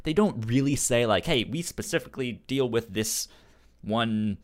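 A man co-hosting a casual comics discussion, stuttering on sounds and syllables in a halting, informal way. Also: mid-range voice, lively intonation. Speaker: podcast co-host reviewing comics.

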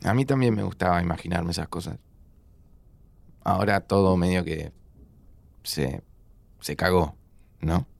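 An actor playing an organized, sceptical but caring young best friend with an Argentine accent, speaking informally with dry teasing in an audio drama. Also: male voice, low voice, a little slow, gravelly, long pauses, exaggerated voice. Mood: downcast. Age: young adult. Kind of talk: naturalistic audio fiction dialogue.

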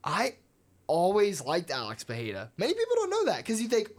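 A woman's voice: medium pitch, huge pitch swings, brisk. A woman from the United States co-hosting a sports podcast, chatty and playfully teasing her co-host. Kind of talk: casual sports podcast chat.

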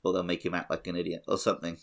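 Low-pitched man chatting casually. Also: monotone, racing, vocal fry. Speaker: podcast co-host. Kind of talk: casual gaming podcast chat.

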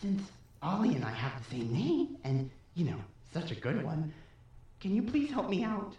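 A woman's voice: on the low side, big pitch swings, normal speed, dark tone. Echoey pleading captive played by a woman. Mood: coaxing, friendly. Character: mysterious trapped captive named Ollie, pleading, persuasive. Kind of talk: audio drama acting. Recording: heavily echoing, distant voice.

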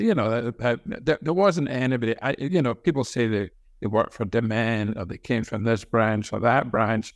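A man's voice: medium pitch, no room sound, studio quality. An older man from Scotland with a Scottish accent, reminiscing conversationally as an interview guest, often repeating words as he goes. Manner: halting, repeats words as he talks. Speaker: interview guest, senior medical professor and researcher.

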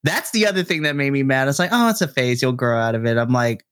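A man's voice: quick pace, clean tone. A young man co-hosting a podcast, chatting casually and acting out quotes expressively. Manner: expressive, acts out quotes with rising pitch. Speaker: podcast co-host. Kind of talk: casual chat.

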